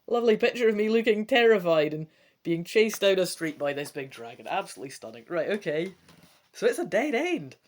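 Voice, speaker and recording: Female gamebook presenter, highly animated intonation, theatrical and wry, equally at home in dramatic reading and chatty asides. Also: a little fast. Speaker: gamebook let's play presenter.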